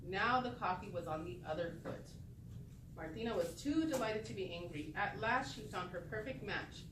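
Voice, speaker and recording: A woman reading a story aloud as a teacher and pausing to put questions to her listeners. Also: mid-range voice, expressive. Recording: echoey room, distant mic, noisy background.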